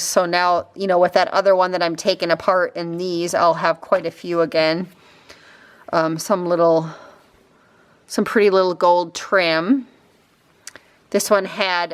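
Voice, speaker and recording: A woman chatting casually and a bit ramblingly as she shows craft finds, her throat slightly raspy. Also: on the low side. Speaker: crafting vlogger. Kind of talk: casual chatty haul show-and-tell.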